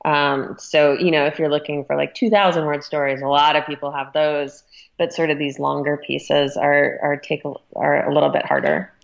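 A woman's voice: sits low, level tone, normal speed, vocal fry, lo-fi sound. A woman speaking as a magazine editor in a conversational interview, explaining things thoughtfully.

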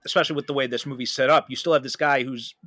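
A man hosting a casual film discussion, talking emphatically and haltingly, often repeating himself for stress. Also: medium pitch, steady tone, pushed and bright, no echo, clean studio sound. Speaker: podcast host.

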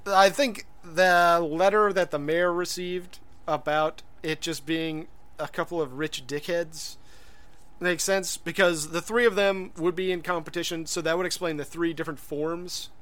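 A man's voice: a little high, fairly even intonation, a little slow, a bit bright. A man co-hosting a podcast in casual chat, rambling and hesitant, full of fillers and restarts. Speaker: podcast co-host.

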